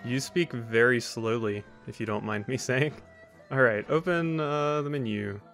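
Male gaming host, average pitch, chatty and rambling with dry asides, soft music underneath. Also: a little slow, creaky. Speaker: let's play host. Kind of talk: casual gameplay commentary. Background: faint game music.

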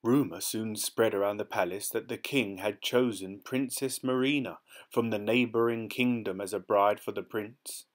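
A man calmly reading a fairy tale aloud from a storybook. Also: fairly low pitch, moderate pace, hardly any pauses. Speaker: storybook reader. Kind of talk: gentle read-aloud storytelling.

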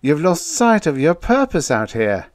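A man's voice: fairly high pitch, big pitch swings, normal speed, no echo, clean studio sound, voice pushed up. A man voicing a grave, judicious space official with a formal, placating manner in a story. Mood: solemn.

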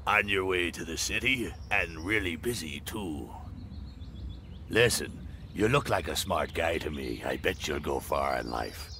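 An actor playing a shady, flattering old seaman in a video game, with a sly, ingratiating manner. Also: rumbling low, measured pace, a little hiss or hum, full character voice. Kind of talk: video game dialogue acting.

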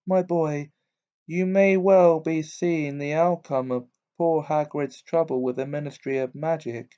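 A man voicing a dreamy, eccentric older woman who teaches divination, with a high, breathy, fussing manner. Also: fairly even intonation, measured pace, dark tone, natural voice. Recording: dull lo-fi recording.

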